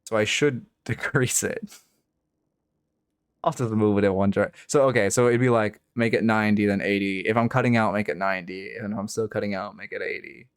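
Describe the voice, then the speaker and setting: A man talking casually on a live stream into his streaming microphone. He thinks aloud, swears now and then, and speaks in a low voice with high inflections. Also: steady tone, fairly quick, smooth, no echo, clean studio sound. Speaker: game coach and streamer.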